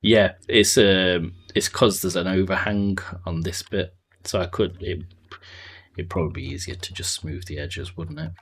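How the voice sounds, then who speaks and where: A man's voice: low-pitched, fairly even intonation, normal speed, a bit bright, husky. A man streaming a building game, thinking aloud in a loose, rambling way and chatting with viewers. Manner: thinks aloud, trailing off and answering chat. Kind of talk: casual live-stream chat while playing.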